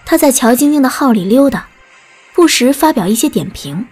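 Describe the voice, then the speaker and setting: A woman narrates a story in a scripted audiobook style. Her voice sits a little high. Soft music plays faintly behind her. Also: animated, moderate pace, slightly rough.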